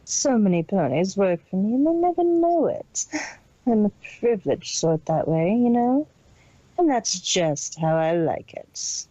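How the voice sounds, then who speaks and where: A woman's voice: mid-range voice, sing-song, unhurried, dark tone, no echo, muffled recording. A woman voicing a cold, detached, imperious female pony villain boss in an amateur story reading. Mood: coolly menacing. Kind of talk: amateur fan fiction audio reading.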